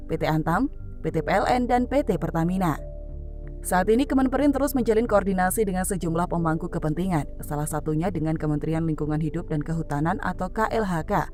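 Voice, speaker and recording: Low-pitched woman narrator over music. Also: darkish tone. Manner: formal, read-out delivery. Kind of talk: scripted news commentary narration. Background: background music.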